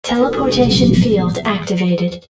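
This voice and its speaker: A woman's voice: a little high, unhurried, darkish tone, soft background music, lightly acted. Game computer voiced by a woman: formal, emotionless security alerts, reverberant and far off. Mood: impassive. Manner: clipped, formal, impassive. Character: impersonal female security computer announcer. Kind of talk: video game announcement. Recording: echoey, distant announcement.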